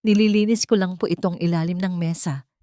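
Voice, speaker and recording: A woman's voice: lowish voice, fairly even intonation, a little fast, mellow, dry recording, clean studio sound, natural voice. A woman voicing an anxious, respectful young housemaid in an audiobook drama, speaking in a nervous, deferential way.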